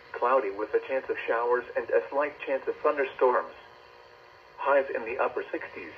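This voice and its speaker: A synthesized man's voice reading a scripted weather bulletin in a stilted, formal way, played from a weather radio indoors. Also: mid-range voice. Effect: synthetic voice over weather radio. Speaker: automated weather radio announcer. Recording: dull radio speaker, clear noise.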